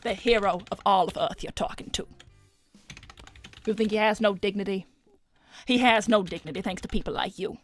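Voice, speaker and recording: A woman's voice: medium pitch, moderate pace, long pauses, faint music behind, natural voice. A woman voicing a haughty, manipulative female cartoon character who speaks in a pointed, disdainful way. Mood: indignant. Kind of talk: improvised in-character livestream Q&A.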